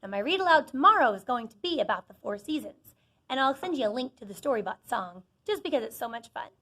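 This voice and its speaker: A woman's voice: on the high side, sing-song, fast talker, sharp tone, no room sound. A woman teacher giving a read-aloud lesson to young children, encouraging them and asking questions as she goes. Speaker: elementary school teacher.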